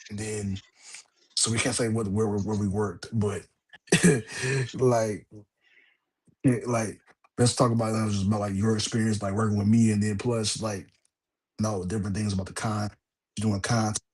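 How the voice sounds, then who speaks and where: A man's voice: lowish voice, level tone, normal speed, pauses often, darkish tone, slightly rough. A man hosting a wrestling podcast in casual chat, rambling with lots of fillers and restarts. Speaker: podcast host.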